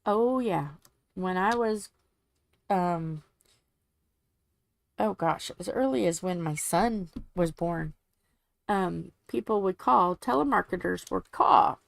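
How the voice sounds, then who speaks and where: A woman in her forties with a young-sounding, soft voice, chatting casually while she crafts on a livestream. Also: on the low side, slow, gravelly, pauses often. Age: 40s. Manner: soft, sleepy-sounding delivery.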